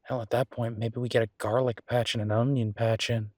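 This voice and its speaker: A man playing an easygoing, affectionate restaurant owner in a roleplay audio drama, chatting casually as if thinking out loud to his partner. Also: medium pitch, flat delivery, brisk, no room sound, studio quality, natural voice. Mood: musing, persuasive. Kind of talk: boyfriend roleplay audio drama, one-sided conversation.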